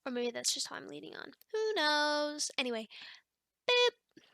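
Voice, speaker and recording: Woman acting as teasing sleep demon, bright voice, very dry close sound, casual rambling, hesitant, ASMR roleplay. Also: high-pitched, very animated, normal speed, gravelly, dry recording, heavily acted. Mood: teasing, reassuring. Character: chatty, teasing female sleep paralysis demon, formerly human. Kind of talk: ASMR roleplay, one-sided conversation.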